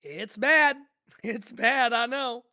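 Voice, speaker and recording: A woman's voice: on the high side, slow pace, pushed and bright. A woman playing a friendly, easily amused drive-thru worker, chatting casually in an audio drama table read.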